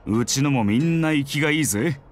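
A Japanese actor playing a brisk, commanding but easygoing military squad captain in an anime game. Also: male voice, mid-range voice, expressive, soft background music, natural voice. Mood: casual.

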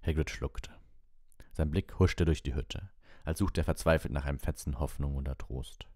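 A man's voice: a bass voice, normal speed, darkish tone, no room sound, studio quality. A man reading a story aloud as scripted audiobook narration. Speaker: audiobook reader.

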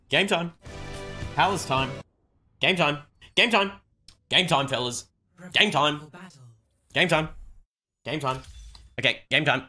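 An excitable video game streamer chatting exclamatorily on a livestream. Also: mid-range voice.

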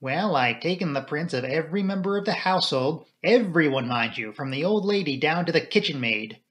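A man's voice: on the high side, lively intonation, a little fast, a bit bright. Man acting a blunt, grave police inspector. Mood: annoyed, fussy. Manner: blunt, matter-of-fact, stubborn. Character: grave, plain-spoken local male police inspector, fussy.

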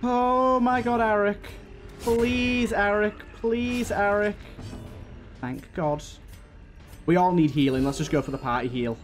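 A man with a fairly high voice commentates casually on a game as he plays. He speaks to the characters directly and repeats himself, with music playing underneath. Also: takes it slowly. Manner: talks to the game's characters, repeats phrases. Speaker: gaming host. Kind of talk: casual let's play commentary.

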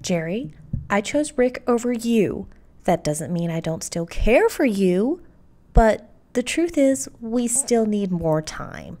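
A woman playing a weary, firm middle-aged mother and ex-wife in a cartoon audio drama, speaking in a measured way. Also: medium pitch, big pitch swings, warm tone. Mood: earnest.

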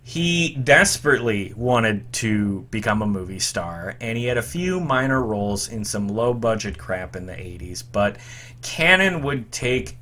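A man's voice: lowish voice, very animated, measured pace, bright, forceful tone, slight background noise. A man in his thirties hosting a podcast from a room at home, talking about movie soundtracks as an eager, emphatic fan. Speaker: podcast host. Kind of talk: enthusiastic retro music commentary.

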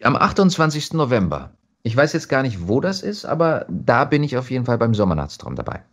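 A man chatting casually as an actor being interviewed, telling stories in an animated way. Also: medium pitch, a little fast.

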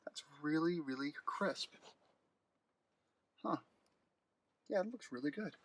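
A man's voice: fairly high pitch, animated, slow. A man giving a casual car walkaround on a dealership lot, thinking aloud with fillers and restarts, recorded on a handheld phone. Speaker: car vlogger. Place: outdoors at a car dealership lot, then inside a car. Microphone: handheld phone camera.

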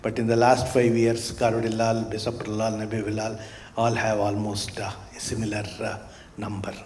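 A man speaking as a nephrologist on a medical panel, explaining drug choices in a measured way with frequent hesitations, on a conference stage with a handheld mic. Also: fairly low pitch, completely flat delivery, a little mellow, slight background noise.

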